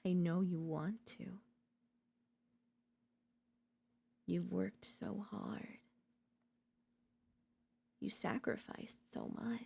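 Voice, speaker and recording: A woman voice-acting an obsessive, unhinged yandere in a dark ASMR audio roleplay, theatrical and taunting, mocking and seductive. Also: on the low side, slow pace, gravelly, breathy edge, full character voice. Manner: theatrical, taunting, swinging between intimate murmurs and intense outbursts.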